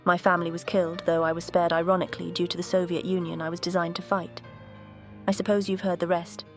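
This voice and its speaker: A woman voicing a composed vintage aircraft character, telling her story in a measured, earnest cartoon dialogue style. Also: on the low side, level tone, soft background music, lightly acted. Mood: earnest recounting. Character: composed, bitter but grateful female vintage jet museum exhibit.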